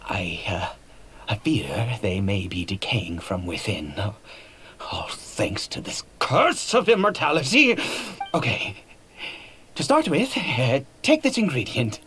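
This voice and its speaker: A man's voice: mid-range voice, big pitch swings, fairly bright tone, slight background noise. Unstable ancient nobleman, wildly swooping delivery. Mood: apologetic, frail, then eager, fumbling. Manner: theatrical, halting, erratic swings between whispers and outbursts. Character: ancient cursed nobleman, frail, unstable, desperate, swinging between giddy and brooding. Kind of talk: dramatic video game voice acting. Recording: game audio with faint music behind.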